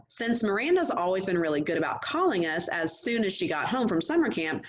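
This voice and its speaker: A woman's voice: medium pitch, rough recording. A woman reading a novel aloud in a casual, conversational way, talking directly to her listeners. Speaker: host reading a book aloud. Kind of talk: read-aloud of a first-person novel, conversational.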